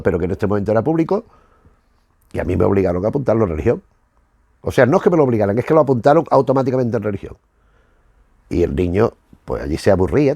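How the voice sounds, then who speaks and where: A man's voice: fairly low pitch, gravelly, dark tone, no room sound. A middle-aged man with a Spanish accent from Spain, giving unscripted commentary in a rambling, digressive, self-correcting way. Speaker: host of a retro television review channel.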